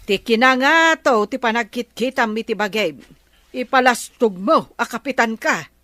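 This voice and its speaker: An actress with an Ilocano accent playing the exasperated, nagging wife of a village captain in a comedy radio drama. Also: female voice, a little high, animated, moderate pace, bright, forceful tone, no room sound. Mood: mocking. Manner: nagging, scolding.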